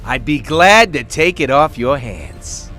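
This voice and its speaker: An actor voicing a smug, playful rogue in a video game, sly and teasing. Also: male voice, mid-range voice, big pitch swings, takes it slowly, sharp tone, faint music behind, heavily acted. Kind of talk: video game cutscene acting.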